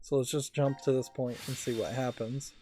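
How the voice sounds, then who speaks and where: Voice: mid-range voice, steady tone, normal speed, mellow. A let's play host giving casual game commentary, musing and thinking aloud while working through a puzzle game. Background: game music.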